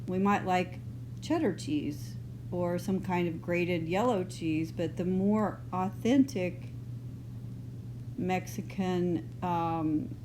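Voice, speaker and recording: A middle-aged woman from Texas with a mild, partly northern Texas accent, teaching a drawing lesson in a relaxed, chatty way as she works. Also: lowish voice. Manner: relaxed, unhurried, loose and chatty. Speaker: art teacher. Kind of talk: drawing lesson, thinking aloud. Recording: noisy home recording.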